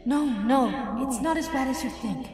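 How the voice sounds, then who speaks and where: A performer voicing a gentle, motherly female alien being, tender and solemn, in an audio play. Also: high-pitched, a little slow, voice pushed up. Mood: soothing, pleading. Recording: echoing voice under loud music.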